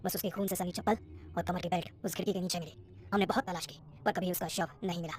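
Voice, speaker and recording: Woman playing a solemn, flat-toned hospital dean. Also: on the low side, fast talker, pitched above the performer's own voice, close to an everyday voice. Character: older male hospital dean, solemn and authoritative. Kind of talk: animated horror story dialogue.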